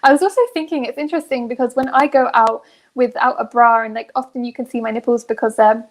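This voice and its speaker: Young female podcast co-host, high-pitched voice, frank and giggly, loose conversational delivery. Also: fairly even intonation, quick pace. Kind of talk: casual candid chat.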